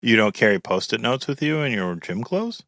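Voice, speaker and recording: A man co-hosting a podcast with a deep voice, talking in a deadpan, mock-serious way. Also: normal speed, dry recording, studio quality. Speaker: podcast co-host. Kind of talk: casual chat.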